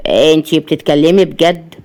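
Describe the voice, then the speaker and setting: A woman voicing a moody but softening injured young footballer in an audiobook, husky, hesitant and confiding, with an Egyptian accent. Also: sits low, steady tone, normal speed, voice pushed down. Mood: shy, teasing. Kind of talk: audiobook character dialogue in Egyptian Arabic.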